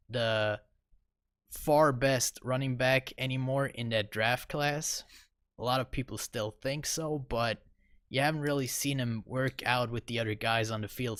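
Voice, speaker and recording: A man's voice: medium pitch, fairly bright tone, no room sound, studio quality. A young man co-hosting a sports podcast, giving casual, rambling analysis with lots of fillers. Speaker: podcast co-host.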